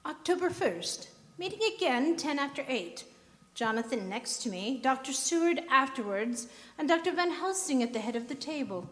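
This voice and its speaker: A woman's voice: sits high, lively intonation, moderate pace, a bit bright. A woman playing a formal lady who precisely reads out a written record in a radio drama. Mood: formal, businesslike. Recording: live hall recording with long reverb.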